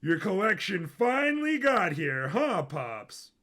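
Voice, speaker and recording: A man's voice: sits high, sing-song, slow pace, pushed and bright, pitched above the performer's own voice, full character voice. A man voicing a young prince in an audio story, a flippant and nervous son with a casual way of talking.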